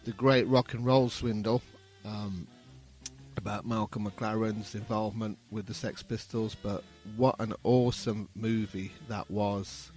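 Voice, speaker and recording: A man in his fifties from England speaks as a guest in a casual interview. His voice sits on the low side and he talks reflectively, often halting as he thinks, with music faintly behind him. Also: steady tone, a little mellow.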